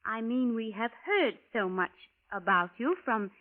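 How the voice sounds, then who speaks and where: An actress playing a polite, gushing young wife from the future, prim and formal in 1940s radio style. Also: female voice, a little high, lively intonation, unhurried. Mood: gushing, flustered. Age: 20s. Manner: prim, formal, precise, few contractions. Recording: narrow, muffled vintage radio recording.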